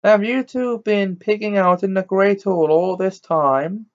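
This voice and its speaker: A man's voice: high voice, unhurried, voice pushed up. A man doing a drawling, sneering teen snob. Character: sneering snobbish teen boy bully. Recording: dull lo-fi recording.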